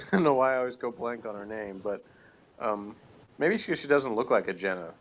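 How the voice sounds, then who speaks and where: A man's voice: on the low side, animated, normal speed. A man giving his opinions in a casual review chat, informal and hesitant, often interrupting himself, on a lo-fi recording. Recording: dull, lo-fi voice recording.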